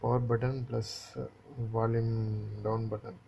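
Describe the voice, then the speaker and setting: A man's voice: mid-range voice, completely flat delivery, measured pace, creaky. A man giving a casual, hands-on repair tutorial, instructing step by step and often checking that the listener follows. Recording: dull lo-fi audio with faint noise.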